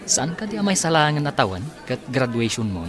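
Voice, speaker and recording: A man's voice: medium pitch, very animated, fairly quick, crowd noise, natural voice. An actor with an Ilocano Filipino accent playing an easygoing young working man in a radio drama. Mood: chatty.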